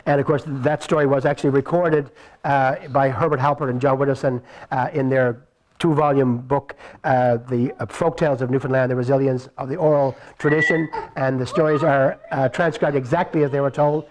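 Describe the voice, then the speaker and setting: A man presenting an informal question and answer session after a show, speaking in a halting, conversational way. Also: medium pitch, fairly even intonation, rough recording. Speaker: storyteller and show presenter at audience Q&A. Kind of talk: informal spoken Q&A to audience. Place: live venue before an audience. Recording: live room with audience noise.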